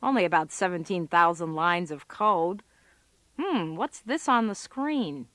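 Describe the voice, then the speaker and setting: A woman's voice: lowish voice, expressive, measured pace, fairly bright tone, no echo. An actress playing a sardonic, exasperated female office computer worker in a comic radio drama, given to wry muttered asides. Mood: wry, musing.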